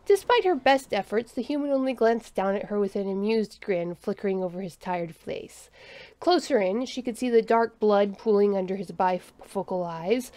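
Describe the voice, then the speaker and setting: A woman's voice: medium pitch, warm tone, no echo. A woman narrating a scripted story as an audio narrator.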